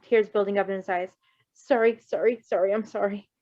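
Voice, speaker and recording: A woman's voice: mid-range voice, fairly even intonation, brisk, mellow, highs cut off, natural voice. A woman voicing an awkward, hesitant young male superhero character in an amateur fan fiction reading, informal and self-effacing. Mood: panicked, apologetic. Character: skittish, awkward young male superpowered runaway, apologetic and shyly curious.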